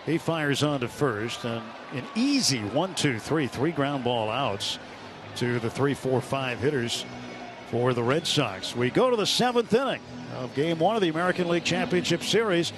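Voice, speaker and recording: A man calling baseball play-by-play live on the radio in a measured, descriptive way. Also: medium pitch, animated, music in the background. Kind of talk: live sports commentary. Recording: clear stadium noise behind live broadcast. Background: outdoor ballpark ambience.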